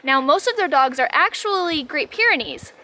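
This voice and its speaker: High-voiced, gushing woman vlogger. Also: animated, moderate pace, noisy background. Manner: enthusiastic, gushing. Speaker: RV travel vlogger. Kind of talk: casual vlog narration. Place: outdoors on a ranch.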